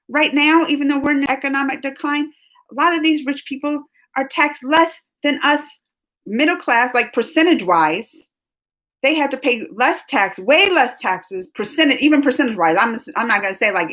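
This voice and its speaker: A woman's voice: fairly high pitch, fairly bright tone. A woman in her thirties hosting a casual live stream, talking in an emotional, rambling way with many restarts and fillers. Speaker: livestream host.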